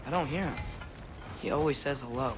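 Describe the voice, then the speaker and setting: A man's voice: medium pitch, fairly quick, natural voice. An actor playing an earnest, sensitive teenage boy adventurer in a video game, with informal, youthful phrasing. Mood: subdued, wistful. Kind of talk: cinematic video game acting. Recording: loud action noise behind voice.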